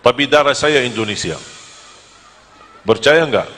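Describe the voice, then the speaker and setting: A man from Medina, preaching to a live audience in a lively, joking storytelling manner. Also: mid-range voice, moderate pace, in a large hall, faint music behind. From Medina, Saudi Arabia. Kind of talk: humorous sermon to a live audience.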